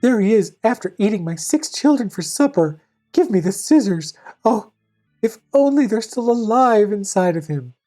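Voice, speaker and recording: A woman's voice: mid-range voice, lively intonation, moderate pace, warm tone, soft background music, voice pushed up, lightly acted. A woman voicing a protective, loving old mother goat in a storybook, earnest and careful in her instructions. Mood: anxious.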